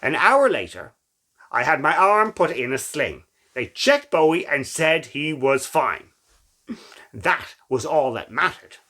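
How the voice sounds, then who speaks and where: A man's voice: a little high, big pitch swings, slow, pushed and bright, heavily acted. A man playing a brave, affectionate young woman who is blind, in a dramatic, tender storybook reading. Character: brave, affectionate blind girl, college student.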